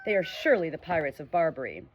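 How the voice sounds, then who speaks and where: A woman's voice: low-pitched, very animated, fast, over music, low-quality recording. A woman voicing a male dog who growls and barks in his sleep in a storybook reading.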